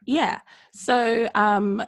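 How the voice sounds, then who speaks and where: A woman's voice: fairly high pitch, fairly even intonation, drawn-out words, dry recording. A woman hosting a podcast, upbeat and cheering on her guests in casual chat. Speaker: podcast host.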